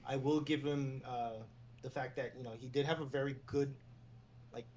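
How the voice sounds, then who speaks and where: A man from New York City talks things through in a loose, easygoing way, circling back on his thoughts and chuckling now and then. His voice sits at an ordinary middle pitch. A faint hum of noise runs underneath. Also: normal speed. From New York City, USA. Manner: relaxed, meandering, chuckles while talking. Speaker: esports agent and former team general manager, guest. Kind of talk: casual interview conversation.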